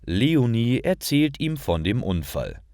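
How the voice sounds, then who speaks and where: A man reading simple stories as a narrator for language learners, in a deliberate and expressive storytelling style. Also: mid-range voice, no echo, studio quality. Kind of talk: scripted storytelling narration, read slowly for learners.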